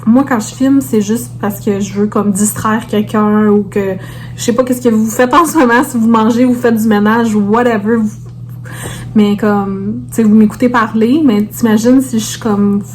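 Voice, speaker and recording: A woman's voice: a little high, steady tone, moderate pace, darkish tone, slight background noise. A woman with a Québécois French accent chatting casually to viewers as a vlogger, informally peppering her talk with English words.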